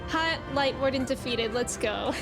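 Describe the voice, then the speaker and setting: A woman's voice: high-pitched, unhurried, creaky, over music. A woman chatting casually and playfully as she livestreams a video game, reacting and greeting viewers.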